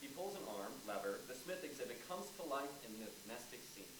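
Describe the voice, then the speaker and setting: A man's voice: mid-range voice, sing-song, quick pace. A man reading stage directions aloud as scripted narration for a play. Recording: echoey noisy room, far from mic.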